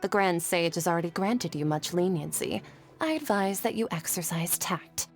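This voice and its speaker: An actress playing a stern, dutiful academy official in a video game, speaking in a cold, formal, measured way. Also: female voice, on the low side, lively intonation, soft background music. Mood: stern warning.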